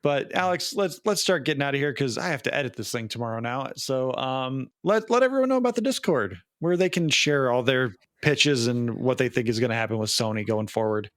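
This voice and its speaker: Guy cohosting a chatty podcast, kinda wry and jokey, normal pitch, really dry sound. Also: expressive, fairly quick, hardly any pauses, fairly bright tone, no echo. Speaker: podcast co-host. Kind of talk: casual movie podcast chat.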